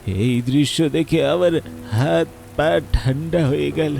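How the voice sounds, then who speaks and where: A man's voice: fairly high pitch, takes it slowly, gravelly, a little mellow, a little hiss or hum. A man narrating a horror story in a dramatic, fearful way.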